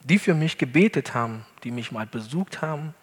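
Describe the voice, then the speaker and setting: A male pastor, not a native German speaker, preaching an earnest sermon in a church hall with deliberate emphasis. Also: mid-range voice, expressive, husky. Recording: long hall reverb.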